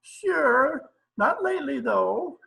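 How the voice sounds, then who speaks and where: Radio comedy role: jolly, slow-witted Swedish immigrant, slow delivery with big swoops in pitch. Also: male voice, big pitch swings, gravelly, pushed and bright, exaggerated voice, rough recording. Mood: jolly, joking. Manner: halting, broken English, chuckling at own jokes. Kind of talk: old-time radio comedy acting.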